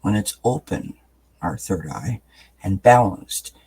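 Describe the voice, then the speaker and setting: Male tarot reader, low husky register, raspy from just waking; soft-spoken, unhurried, filler-heavy delivery. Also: poor audio. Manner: soft-spoken, relaxed, meandering with many fillers. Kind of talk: gentle daily tarot reading. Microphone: camera mic, above-view camera.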